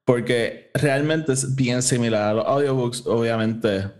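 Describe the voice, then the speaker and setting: A man's voice: medium pitch, measured pace, a little mellow. A man with a Puerto Rican Spanish accent hosting a podcast in casual chat, informally mixing in English words. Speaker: podcast host.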